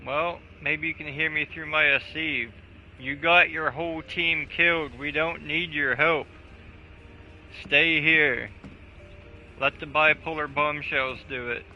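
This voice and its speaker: A man's voice: a little high, fairly even intonation, slow, bright, forceful tone. A man streaming a video game, chatting casually with crude, offhand jokes. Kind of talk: casual gaming livestream chat. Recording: dull lo-fi mic with game music.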